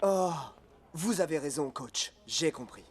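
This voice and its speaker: A man's voice: on the high side, animated, unhurried, soft background music. A man playing an eager, self-doubting young footballer in a French cartoon dub, earnest and exclaiming. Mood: dejected.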